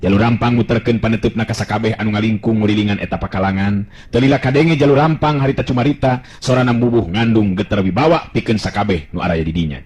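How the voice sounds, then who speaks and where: A man's voice: fairly low pitch, fast, a little mellow, slightly rough. A man with a Sundanese accent telling a folktale as a dramatic narrator. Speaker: storyteller.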